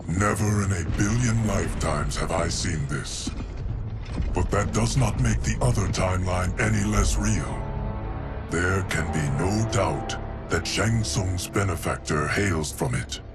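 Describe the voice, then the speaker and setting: An actor playing a stoic, scholarly guardian in a video game, with a formal, deliberate delivery. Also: male voice, deep voice, over loud music. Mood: grave, certain. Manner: formal, deliberate, matter-of-fact. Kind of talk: dramatic video game cutscene acting.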